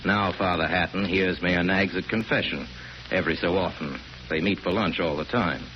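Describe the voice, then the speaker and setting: A man narrating a 1940s radio drama as a wry, urbane storyteller with a measured, deliberate delivery. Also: sits low, natural voice. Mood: wry, confiding. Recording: muffled, hissy 1940s radio broadcast.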